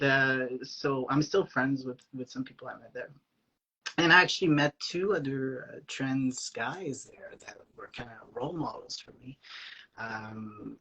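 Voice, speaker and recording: A man with a French Canadian accent, a native French speaker, telling personal stories in a casual guest chat, lively and acting out the lines he quotes, with plenty of fillers. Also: mid-range voice, highs cut off, a little hiss or hum. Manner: animated, acts out quoted lines, many fillers. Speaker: guest, aspiring actor. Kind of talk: casual storytelling.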